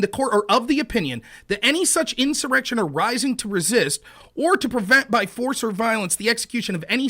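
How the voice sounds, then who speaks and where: A man hosting a heated debate, arguing his point and reading legal text aloud in a measured way. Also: sits high, pushed and bright. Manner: argumentative, reads legal text aloud deliberately. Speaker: show host.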